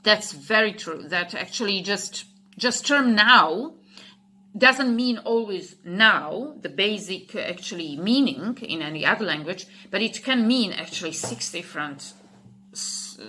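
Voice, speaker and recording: A woman with a Slovak accent, a native Slovak speaker, talking casually to camera as an English teacher, halting and restarting as she searches for words. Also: medium pitch, lively intonation, sharp tone.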